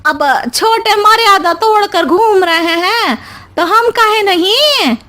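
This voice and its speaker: A woman's voice: very high voice, slow, bright, forceful tone, voice pushed up, heavily acted. A woman voicing a jealous, meddling elder sister-in-law in a cartoon story, gossipy and theatrical, with rustic turns of phrase. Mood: indignant. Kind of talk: cartoon moral story voice acting.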